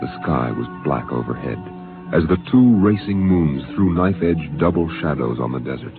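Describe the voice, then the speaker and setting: A man's voice: very deep voice, expressive, normal speed. A man narrating a 1950s radio drama in a grave, dramatic manner. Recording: tinny old radio broadcast with music.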